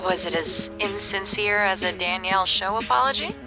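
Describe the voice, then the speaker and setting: A woman's voice: medium pitch, normal speed, natural voice, rough recording. Actress as emotive space station woman, earnest, mid-range voice, natural game dialogue, heavy music backdrop. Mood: pleading, upset.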